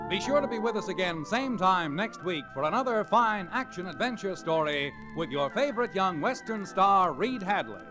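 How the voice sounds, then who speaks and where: A man's voice: high-pitched, expressive, normal speed, few pauses, low-quality recording. A man reading scripted credits as a 1940s radio announcer. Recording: vintage radio recording with loud music. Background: music.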